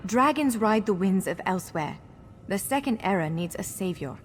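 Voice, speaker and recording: A woman's voice: mid-range voice, lively intonation, moderate pace, close to an everyday voice. A woman playing an earnest, encouraging female elf scholar who guides a hero in a fantasy video game. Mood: solemn. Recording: long hall reverb, faint music.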